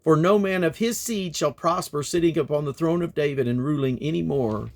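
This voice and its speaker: A man reading scripture aloud in a solemn, declamatory manner, with measured phrasing and plainly spoken references. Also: fairly high pitch, gravelly. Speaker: Bible reader and host.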